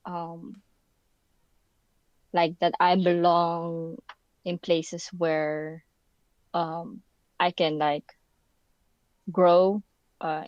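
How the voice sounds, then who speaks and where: A woman's voice: lowish voice, a little mellow, no room sound, highs cut off. A young woman from the Philippines who grew up in the Netherlands, a podcast guest speaking casually and searching for words with many fillers. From Philippines, raised in the Netherlands. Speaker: podcast guest, university student. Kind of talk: casual conversation. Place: indoor room.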